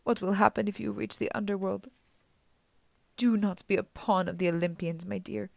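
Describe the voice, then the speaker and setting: A woman's voice: lowish voice, steady tone, a little slow, dry recording, natural voice. An ancient, menacing female monster, acted out by a woman narrator in a storybook reading. She coaxes and purrs like a kindly grandmother with danger underneath. The sound is dry and close. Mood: quietly coaxing. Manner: coaxing, purring, sinister.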